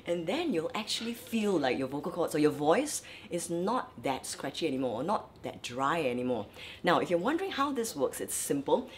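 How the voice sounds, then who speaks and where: A young woman vocal coach, from Malaysia, giving lively, chatty tips in a vlog while her voice is dry and scratchy. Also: low-pitched, normal speed. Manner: lively, chatty, many fillers. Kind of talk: instructional vlog.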